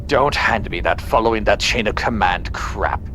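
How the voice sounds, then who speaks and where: A man's voice: lowish voice, normal speed, background noise, close to an everyday voice. A man playing an arrogant, bullying rear admiral with a domineering, sarcastic manner in a sci-fi audio drama. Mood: sneering.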